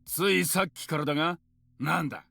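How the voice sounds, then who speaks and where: An actor playing a big, stern shopkeeper father in a visual novel, blunt, gruff and threatening. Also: on the high side, racing, sharp tone, no echo, full character voice. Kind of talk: Japanese visual novel acting. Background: music.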